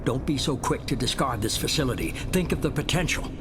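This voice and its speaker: A man playing a calculating, ruthless middle-aged mastermind in a video game, persuading with measured authority. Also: medium pitch, expressive, over loud music, close to an everyday voice. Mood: cold insistence. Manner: measured, smooth-talking, authoritative.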